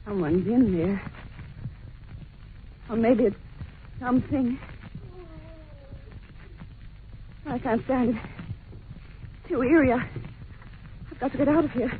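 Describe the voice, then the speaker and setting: A woman's voice: a little high, a little slow, long pauses, phone-quality sound, lightly acted. A woman playing a polite, anxious and curious young governess in an old-time radio drama, telling things earnestly and expressively. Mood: panicked. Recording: noisy, thin old radio recording.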